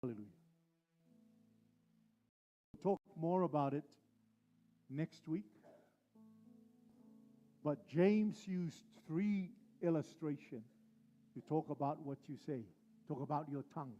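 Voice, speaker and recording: A male pastor preaching a sermon, driving his points home with slow, repeated phrases. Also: fairly high pitch, warm tone, dull audio, faint music behind.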